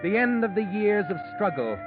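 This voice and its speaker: An actor playing a mysterious, knowing storyteller in 1940s radio drama, addressing the schemer in an insinuating, ominous way. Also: male voice, sits high, sing-song, moderate pace. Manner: insinuating, second-person taunting. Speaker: narrator of a mystery anthology. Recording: tinny phone-like vintage radio, music behind. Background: music.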